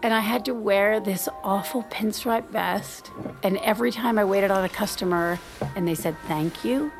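A woman's voice: medium pitch, normal speed, close to an everyday voice. A woman acting in an audio drama as a reserved married mother in her thirties, confiding in a hesitant, self-deprecating way. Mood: wry, embarrassed. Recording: music playing behind the voice.

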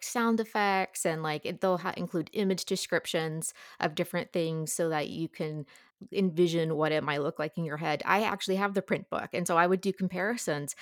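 A woman's voice: fairly low pitch, dry recording, studio quality. A woman talking casually and enthusiastically as a guest reviewer in an informal book discussion.

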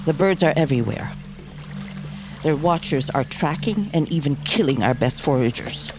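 Grave old bear grandmother, deep-toned. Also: lively intonation, over music. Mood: urgent. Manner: solemn, measured, oracular. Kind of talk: audio drama acting.

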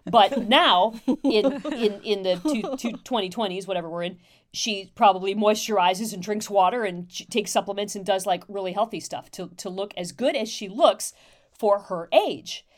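A woman co-hosting a casual radio chat, chatty and expressive with frequent agreement. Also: medium pitch, normal speed.

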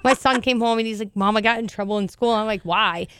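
A woman with a raspy, deep voice, chatting casually as podcast co-host, loud and quick to blurt things out. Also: noisy background. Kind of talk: casual chat. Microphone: studio mic with headphones.

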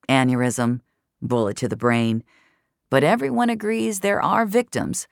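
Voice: medium pitch, gravelly, no echo, studio quality. A narrator reading first-person audio fiction in a wry, colloquial way.

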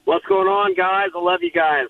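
A man phoning in to a radio show, telling a story casually and haltingly over a muffled call. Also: high voice, creaky, no room sound.

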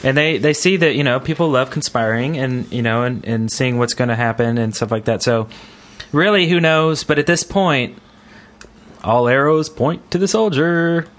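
Male podcast host, average pitch, informal and chatty, for loose gaming talk. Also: moderate pace. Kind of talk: casual gaming podcast chat.

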